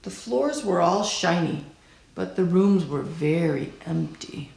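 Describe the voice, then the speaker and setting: A woman reading a children's picture book aloud in a deliberate, expressive storytelling style. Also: lowish voice, vocal fry, reverberant, rough recording. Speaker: storybook reader.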